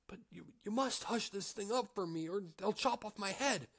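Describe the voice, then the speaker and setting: A man voicing an excitable innkeeper with a fiery temper, loud and pleading. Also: high voice, moderate pace, voice pushed up.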